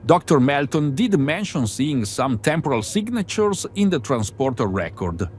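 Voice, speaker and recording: A man's voice: mid-range voice, sing-song, normal speed, a little mellow, natural voice. A man playing a precise, reassuring, science-minded crew member in a fan audio drama. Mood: matter-of-fact. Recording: faint background noise.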